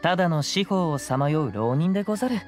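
An actor playing a modest, composed young wandering samurai with archaic speech in an anime game. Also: male voice, a little high, animated, fast, soft background music, lightly acted. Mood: calm, modest. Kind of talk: Japanese anime game voice acting.

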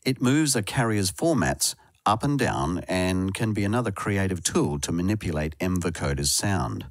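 A man narrates a tutorial in a low voice that moves noticeably up and down. The delivery is scripted and instructional, explaining things step by step. The recording is close and very dry, like a studio voice-over. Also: animated, moderate pace, dry recording.

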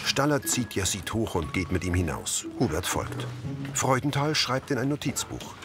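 A man's voice: fairly low pitch, big pitch swings, normal speed, music in the background. A man reading scripted audio description for a TV programme. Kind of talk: scripted narration.

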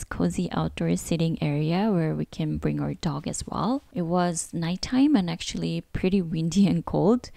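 Casual woman vlogger with a creaky voice. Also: lowish voice, dark tone, no room sound. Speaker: travel vlogger narrating a trip. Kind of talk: casual scripted voice-over narration.

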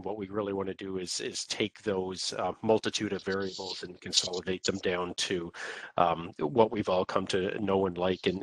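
A Canadian man presents slides in a webinar with a low, deep voice. His delivery is informal and explanatory, with a hesitant, halting flow. The sound is dry, with very little room in it. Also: no room sound, poor audio.

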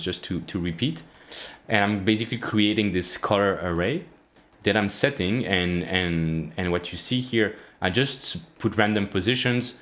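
Low-voiced man with halting, filler-heavy delivery. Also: fairly bright tone. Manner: halting, many fillers and restarts. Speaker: programming tutorial host. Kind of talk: casual screen-share coding walkthrough. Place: small indoor room, plain wall.

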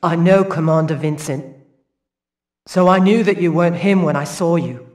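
Man voicing a high-pitched, timid young boy. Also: moderate pace, natural voice. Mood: earnest. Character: guilt-ridden young boy, earnest and timid. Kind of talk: stilted video game voice acting. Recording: long hall echo, music behind.

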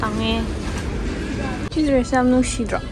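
Young woman vlogging on her phone, talks super fast, bit high voice, loud crowd and traffic behind. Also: steady tone. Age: young adult. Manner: quick, chatty, laughing often. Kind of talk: casual chat. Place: car, then a shopping mall. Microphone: handheld phone camera. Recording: busy mall crowd and road noise.

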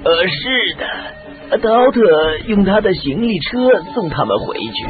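Polite fussy staffer, radio drama, high voice, hesitant, muffled narrow sound. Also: male voice, very animated, a little slow. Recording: band-limited audio, faint music.

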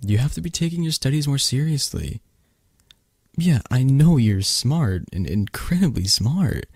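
A low-voiced, protective young dragon boyfriend acted by a man murmurs close and dry in a romantic ASMR roleplay. He is tender and teasing, with a husky, breathy tone and lively rises and falls as he coaxes. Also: no room sound.

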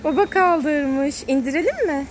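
Give the voice of a woman with a high pitch, talking casually to a small child as she films outdoors. She is encouraging and repeats words in a sing-song way. Faint car wash noise sits behind her, picked up by a handheld phone. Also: animated, a little slow. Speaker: mother filming a family vlog. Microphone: handheld phone camera, speaker behind it.

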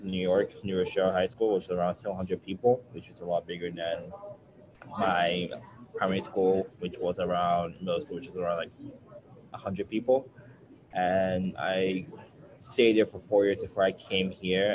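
A young man from Nigeria with a low, deep voice talks casually about himself. He circles back and rephrases as he goes, over clear background noise. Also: level tone, moderate pace. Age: 20s. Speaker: university student or alum sharing his story. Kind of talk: casual personal storytelling.

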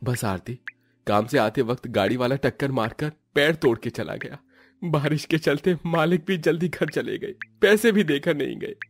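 A man's voice: medium pitch, animated, normal speed, creaky, no echo, faint music behind. Man as weary, dejected poor husband.

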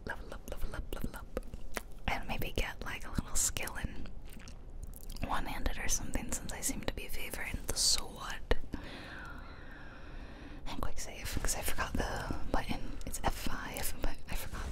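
Woman, soft breathy whisper, ASMR gaming host, chatty, self-deprecating. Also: moderate pace, pauses often. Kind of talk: whispered ASMR gameplay commentary.

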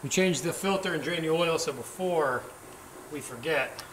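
Energetic man vlogging in a garage. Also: a little high, a little hiss or hum, poor audio. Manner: energetic, fast talking, chuckles at himself. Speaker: car builder and vlog host. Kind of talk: casual on-camera vlog. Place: indoor tire workshop garage.

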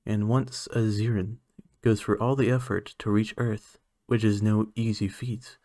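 A man playing an aloof, condescending alien scientist in an ASMR roleplay, speaking in a clinical, measured, haughty manner. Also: lowish voice, a little mellow, dry recording, studio quality. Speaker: voice actor.

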